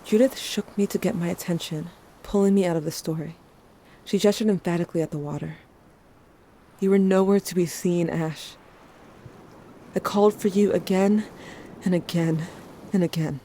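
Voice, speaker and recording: A man's voice: sits high, steady tone, moderate pace, pauses often, warm tone, slight background noise, rough recording. A man playing a protective, grieving young friend, narrating events earnestly and intimately in an audio drama. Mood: uneasy.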